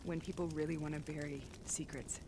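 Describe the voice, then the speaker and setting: An actress playing a bitter, defiant female cybernetic soldier in a dramatic video game cutscene, speaking gravely and deliberately. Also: low-pitched, flat delivery, noisy background, natural voice.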